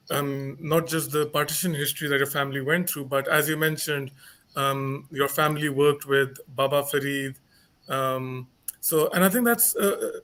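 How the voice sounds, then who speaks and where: A man moderating a panel discussion, speaking hesitantly with many filler pauses. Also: on the high side, a bit bright.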